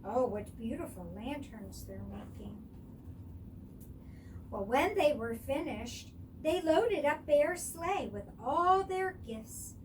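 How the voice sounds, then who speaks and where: A woman storyteller reads aloud for children, heard a little away from the mic. Her voice is high and very lively in pitch, and she takes her time, narrating expressively. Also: slow pace, distant mic, noisy background.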